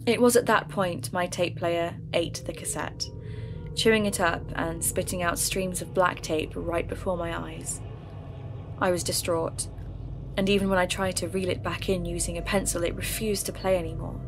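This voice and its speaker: A woman with a lowish voice narrates her search into a local mystery. She speaks in an earnest, measured way. Music plays behind her. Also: fairly even intonation, poor audio. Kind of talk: scripted narration.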